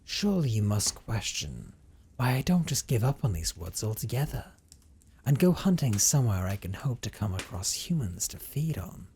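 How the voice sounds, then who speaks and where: A man voicing a centuries-old vampire captor in an intimate audio roleplay, speaking with hushed, theatrical condescension and often dropping toward a whisper. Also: lowish voice, sing-song, normal speed, breathy edge, full character voice. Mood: measured, condescending. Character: centuries-old male vampire captor, teasing, dominant, wistful.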